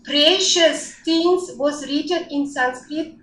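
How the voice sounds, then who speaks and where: A woman's voice: high voice, slow pace, fairly bright tone, a little hiss or hum. A woman in her forties from Kazakhstan, a native Kazakh speaker, answering interview questions in English with a Kazakh accent, earnest and full of fillers. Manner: hesitant, many fillers, earnest. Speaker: guest, dance teacher. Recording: roomy echo, distant from mic.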